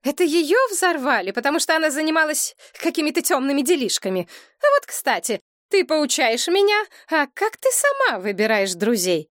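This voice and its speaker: A scornful middle-aged mother, put on by a woman reader, her voice pitched up high and sharp. She talks down with cutting, indignant mockery. The recording is dry and close. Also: big pitch swings, moderate pace, no echo. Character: offended middle-aged mother, mocking and defensive.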